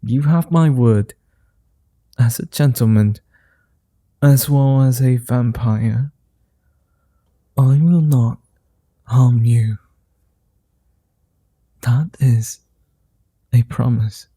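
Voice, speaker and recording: A courteous vampire gentleman, as a man plays him in an audio roleplay, speaks at an unhurried crawl with a deep, mellow warmth. His phrasing is formal and old-fashioned, broken by soft chuckles. The sound is close and dry, with no room around it. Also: slightly rough, dry recording. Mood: reassuring. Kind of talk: ASMR roleplay.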